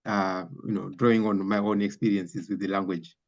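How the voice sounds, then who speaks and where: A man's voice: deep voice, level tone, moderate pace. A man whose first language is Shona, thinking aloud in a reflective way in a panel conversation. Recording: dull lo-fi sound.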